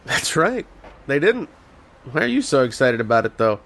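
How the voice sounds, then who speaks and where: A man's voice: medium pitch, very animated, normal speed, vocal fry, fairly bright tone. A man playing a learned, patient archaeologist who explains and narrates in an old-time radio horror drama style. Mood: absorbed. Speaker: lead actor and narrator.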